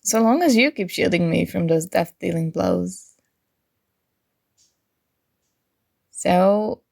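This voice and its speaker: A woman's voice: mid-range voice, creaky, lightly acted. A woman playing a playful, nerdy young gamer chatting with her crush in a casual, teasing ASMR roleplay. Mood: earnest, playful. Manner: teasing, animated, conversational. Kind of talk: ASMR roleplay acting, casual conversational chat.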